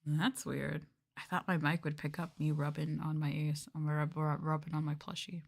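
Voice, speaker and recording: A woman's voice: deep voice, flat delivery, normal speed, creaky. A woman streamer chatting casually on an ASMR livestream, playful, giggly and a bit hesitant, close to the mic. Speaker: VTuber streamer.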